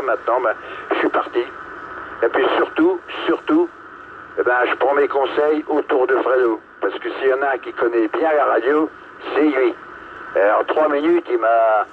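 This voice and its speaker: A man in his late sixties chatting casually over two-way radio as a hobbyist. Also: medium pitch. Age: 60s. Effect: two-way radio transmission. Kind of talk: casual amateur radio chat. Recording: tinny, noisy two-way radio.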